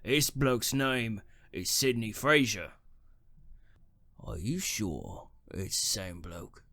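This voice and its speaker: A man acts out a wry old working-class gravedigger for a radio play. He talks slowly and colloquially in a bright, hard-edged voice, recorded dry. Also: fairly low pitch, expressive, no echo, heavily acted. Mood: wary surprise. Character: plain-spoken old working-class cemetery worker, wryly sympathetic. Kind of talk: radio drama acting.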